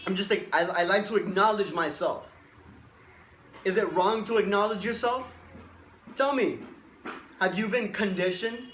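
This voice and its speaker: A man's voice: high voice, measured pace, long pauses, a bit bright, slight background noise. A man in his twenties, a life coach giving a boastful, emphatic motivational monologue and repeating himself for stress. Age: 20s.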